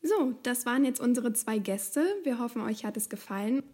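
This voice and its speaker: A woman's voice: fairly high pitch, normal speed, warm tone, husky. A woman co-hosting a podcast, wrapping up with chatty calls to action for listeners. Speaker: podcast co-host. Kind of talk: podcast outro.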